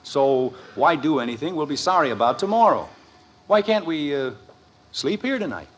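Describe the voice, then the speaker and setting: Blustery, high-pitched American radio dad. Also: male voice, very animated, normal speed, fairly bright tone. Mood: persuasive, enthusiastic. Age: middle-aged. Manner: blustery, oratorical. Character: exasperated, blustering suburban father who talks up adventure. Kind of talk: 1950s radio sitcom acting. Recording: old noisy radio recording.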